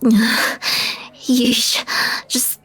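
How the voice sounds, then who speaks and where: A woman playing a skeptical, easily shocked alien roommate in a scripted audio drama, questioning her friend with measured disbelief. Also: on the high side, level tone, fairly bright tone, breathy edge, heavily acted. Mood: wary, reasoning. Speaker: voice actor in audio roleplay.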